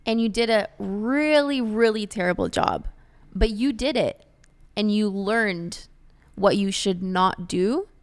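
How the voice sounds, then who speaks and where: Female podcast host, medium pitch, earnest and explanatory, speaking off the cuff in casual conversation. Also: takes it slowly. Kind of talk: casual unscripted podcast chat.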